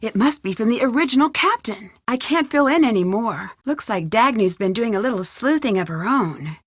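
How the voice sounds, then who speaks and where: A curious, plucky young woman detective from a video game, muses to herself as she works. Her voice sits fairly high and every word is clearly enunciated. The recording is close and dry, with no room sound. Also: lively intonation, normal speed, dry recording. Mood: curious, focused. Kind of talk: video game voice acting, thinking aloud.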